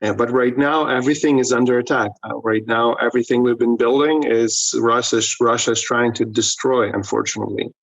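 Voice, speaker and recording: A man, a pastor speaking in a remote news interview, halting with frequent restarts and fillers. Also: mid-range voice, steady tone, no echo, muffled recording. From Ukraine. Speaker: pastor and missionary. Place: in Lviv, Ukraine, remote link.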